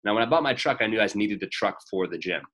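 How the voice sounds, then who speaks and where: A man's voice: lowish voice, expressive, quick pace, a bit bright. A man in his late twenties in casual conversation, blunt and rambling, swearing freely as he makes his points. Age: 20s. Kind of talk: casual podcast chat.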